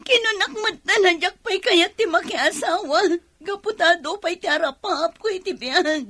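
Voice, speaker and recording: An anguished young daughter in a radio melodrama, with an extremely high, piercing bright voice. She pleads and protests tearfully, defiant even in her distress. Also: moderate pace. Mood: tearful pleading. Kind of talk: melodramatic radio drama acting.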